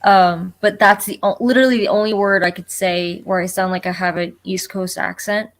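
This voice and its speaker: A woman's voice: mid-range voice, steady tone, a little fast. A woman from Florida co-hosting a casual livestream chat over a video call, chatty and self-deprecating. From Florida, United States.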